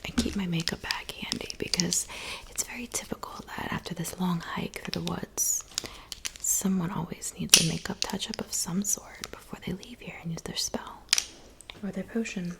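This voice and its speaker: A woman playing a kindly, doting witch in an ASMR roleplay, speaking in a soft whisper with gentle, reassuring attention. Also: fairly low pitch, fairly even intonation, moderate pace, low-quality recording. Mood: soothing, attentive. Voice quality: soft whisper with some creaky murmur. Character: kindly cabin witch mixing potions, doting and soothing. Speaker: ASMR performer.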